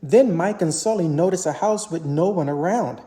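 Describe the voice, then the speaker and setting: A parent reading a children's story aloud in an animated storybook narration style. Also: medium pitch, moderate pace.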